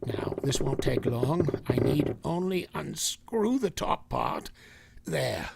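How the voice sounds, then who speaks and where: An actor playing a grave older professor who hunts vampires in a radio drama, lecturing with hesitant deliberation in a gravelly, husky voice. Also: male voice, medium pitch, sing-song, slow, very noisy, full character voice. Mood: solemn, methodical. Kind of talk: vintage radio drama acting.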